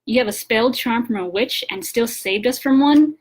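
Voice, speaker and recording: A woman's voice: a little high, moderate pace, close to an everyday voice. Casting: wary sixteen-year-old shifter lad for an audiobook, played by a woman, frightened yet defiant. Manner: wary, challenging. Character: suspicious, frightened sixteen-year-old coyote shifter boy, trying to be brave.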